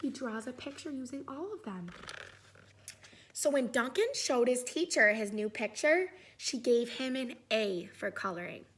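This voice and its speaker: A woman's voice: on the high side, animated, unhurried, a bit bright, husky. A woman reading a picture book aloud, chatting encouragingly and putting questions to young listeners. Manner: encouraging, often checks in with "right?".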